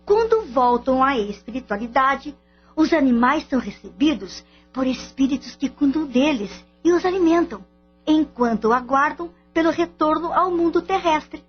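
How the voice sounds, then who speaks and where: An actress plays an earnest, patient woman in a radio play, teaching gently in a high voice. The recording is a little dull, with music playing beneath her. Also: animated, normal speed. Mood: earnest, explaining. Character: patient, earnest woman explaining spiritual beliefs. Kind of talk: radio drama acting. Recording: muffled radio drama with music bed.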